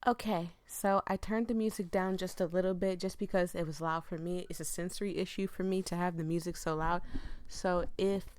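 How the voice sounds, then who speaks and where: A woman's voice: on the low side, fairly even intonation, brisk, a little mellow, no room sound. A woman hosting a casual let's play, reading game text aloud and chatting apologetically to viewers as she breaks off her own sentences. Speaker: gaming video host.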